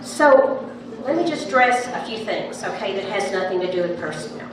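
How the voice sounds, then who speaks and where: A woman's voice: mid-range voice, expressive, normal speed, crowd noise. A woman with a big voice speaking at a town hall meeting, insistent and impassioned, repeating herself to press her point. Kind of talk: public comment at a meeting. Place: large echoey meeting room. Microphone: handheld microphone from a distance. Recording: echoey room, distant mic, background noise.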